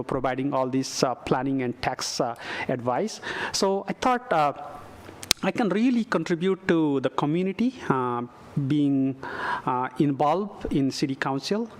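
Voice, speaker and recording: A middle-aged man from Nepal, a council candidate giving a closing statement at a forum, speaking haltingly with many filler sounds. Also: mid-range voice.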